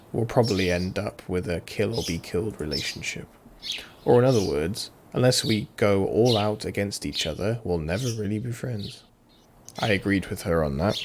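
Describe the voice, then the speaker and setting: A man with a fairly low voice reads game text theatrically and drops in casual commentary. The home recording is clearly noisy, with birdsong behind him. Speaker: let's-player. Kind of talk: reading game dialogue aloud with casual commentary.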